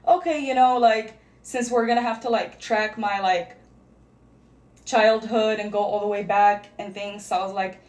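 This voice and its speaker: A woman's voice: mid-range voice, fairly even intonation, normal speed, darkish tone. A young woman whose first language is Arabic, chatting casually as a vlogger while getting ready, rambling and searching for words. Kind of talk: casual get-ready-with-me chat. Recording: echoey room.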